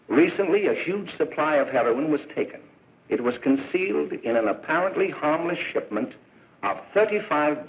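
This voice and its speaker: A grave middle-aged doctor in a 1930s propaganda film, alarmist and declamatory. His voice sits a little high and delivers each warning with heavy emphasis. The sound is a thin, narrow old soundtrack. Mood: solemn, instructive. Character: stern, alarmist middle-aged doctor lecturing parents on moral danger. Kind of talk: 1930s film oratory, scripted lecture.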